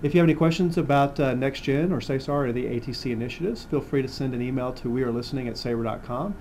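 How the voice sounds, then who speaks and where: A man's voice: mid-range voice, fast, a little mellow, slight background noise. A man hosting a scripted corporate interview. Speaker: host.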